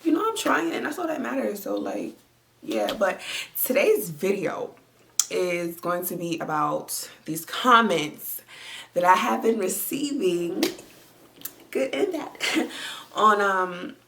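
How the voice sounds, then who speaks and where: A woman's voice: medium pitch, sing-song, slow pace, creaky, fairly bright tone, slight background noise. A young woman with a congested voice, chatting in a rambling, filler-heavy way as she reads viewer comments from home. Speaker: vlogger. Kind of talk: casual chat reading viewer comments. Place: at home, lying down.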